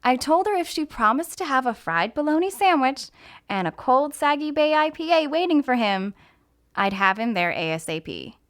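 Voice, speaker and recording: A woman's voice: high voice, big pitch swings, moderate pace. A woman narrating a scripted mystery story as an actor reading aloud. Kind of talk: scripted audiobook narration with character voices.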